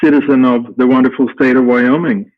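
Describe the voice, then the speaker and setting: A middle-aged man from Sweden with a Swedish accent, speaking English as a guest in an unhurried, halting way with many restarts. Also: mid-range voice, steady tone, no room sound. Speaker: guest, company founder. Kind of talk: casual interview answers. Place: home room at night. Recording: tinny phone-like call line.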